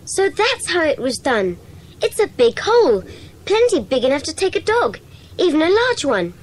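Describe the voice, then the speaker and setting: Casting: loyal young British schoolboy, high animated voice, eager and exclamatory, dramatised children's audiobook. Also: female voice, normal speed. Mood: excited. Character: keen, loyal schoolboy in a secret society.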